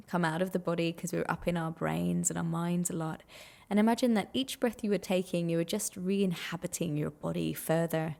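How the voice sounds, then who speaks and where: A woman leading a guided meditation in a gentle, soothing, unhurried manner with long pauses. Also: on the low side, gravelly.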